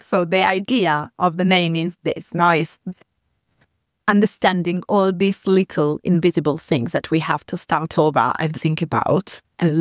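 A woman's voice: lowish voice, no echo, clean studio sound. A woman guest explaining her migrant support service in a reflective interview. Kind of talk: thoughtful interview talk.

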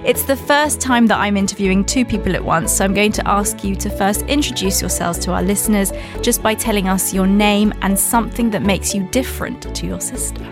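A woman hosting a studio podcast interview, asking questions in a friendly, measured way. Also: medium pitch, music in the background. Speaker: podcast host.

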